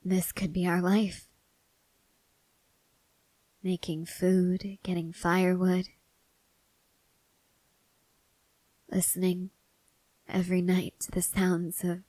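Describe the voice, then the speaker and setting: A woman playing a weary young female soldier, guarded but tender, in an intimate ASMR roleplay, speaking in a hushed, halting way with soft whispers. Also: lowish voice, dry recording, poor audio. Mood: tender, calm.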